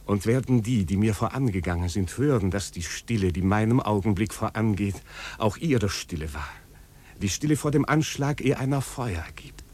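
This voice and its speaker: An actor playing a proud, doomed prince in a poetic 1950s German radio drama, speaking in solemn, intense, declamatory questions. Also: male voice, on the low side, expressive, moderate pace, a little mellow. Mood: fervent, brooding. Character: proud doomed prince, brooding and solemn, addressing his servant.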